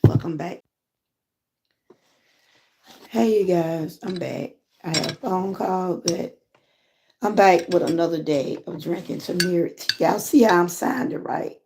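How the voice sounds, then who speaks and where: A Southern woman from Georgia with a hoarse voice, chatting casually with viewers on a live stream from home. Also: on the low side, unhurried, pauses often, noisy background. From Georgia, USA. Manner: relaxed, chatty, greets viewers often. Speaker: lifestyle vlogger and channel host.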